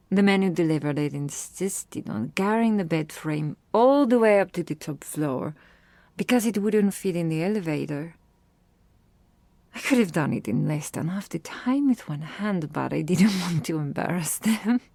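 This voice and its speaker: A close, dry ASMR roleplay voice: a woman as a courteous, protective vampire sister. She speaks very slowly in a soft, mellow tone. Her phrasing is formal, with a gently teasing edge. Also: lowish voice, gravelly, no room sound. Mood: gracious, teasing.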